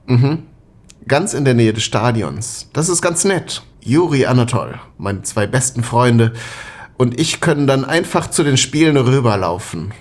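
A man's voice: medium pitch, expressive, measured pace. An actor playing a shy, dreamy young Soviet man, speaking hesitantly and tenderly in an intimate radio play. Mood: friendly, curious.

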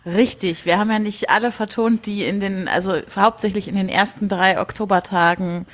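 A woman's voice: medium pitch, steady tone, moderate pace. A woman chatting casually and unscripted as a member of a podcast panel. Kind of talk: casual chat.

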